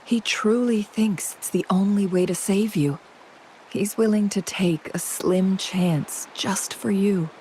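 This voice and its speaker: An actress playing a knowing, composed noblewoman advisor in a fantasy video game, with a measured and formal delivery. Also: medium pitch, dark tone, slight background noise, close to an everyday voice, poor audio. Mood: solemn. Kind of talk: dramatic video game voice acting.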